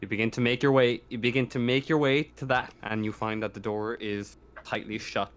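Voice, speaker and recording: A man's voice: mid-range voice, fairly even intonation, fairly quick. A man improvising narration as game master of a tabletop horror roleplaying game. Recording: clear background noise with clicks.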